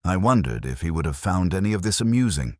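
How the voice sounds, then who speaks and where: A man playing a brooding, world-weary older police inspector, narrating a detective drama in a measured, formal, wry manner. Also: low-pitched, sing-song, darkish tone, no echo, studio quality. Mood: watchful, brooding. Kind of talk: scripted first-person literary narration.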